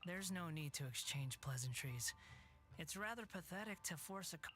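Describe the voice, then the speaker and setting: An actor voicing a scornful, haughty young puppet in a video game, disdainful and formal. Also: male voice, a little high, animated, normal speed, a bit bright, faint music behind. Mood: cold scorn.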